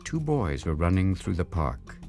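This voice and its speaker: A man narrating a children's storybook aloud in an expressive read-aloud style. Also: low voice, a little slow, over music.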